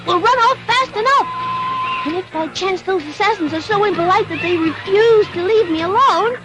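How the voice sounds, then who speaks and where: A woman's voice: very high-pitched, moderate pace, loud music behind the voice, low-quality recording. A woman voicing a naive, stubborn little wooden puppet boy in a classic cartoon dub, childish and whiny. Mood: boastful.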